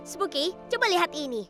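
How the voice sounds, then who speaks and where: Brief: woman playing an excitable cartoon boy, very high and pleading, music behind. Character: Peter, eager excitable young boy.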